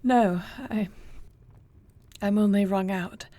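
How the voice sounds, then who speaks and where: A woman's voice: medium pitch, steady tone, warm tone, close to an everyday voice. Echoey, solemn young dragon queen played by a woman. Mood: drained, subdued. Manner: formal, measured, earnest. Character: newly crowned young dragon shifter queen, solemn, shaken, dutiful. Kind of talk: scripted audio roleplay acting.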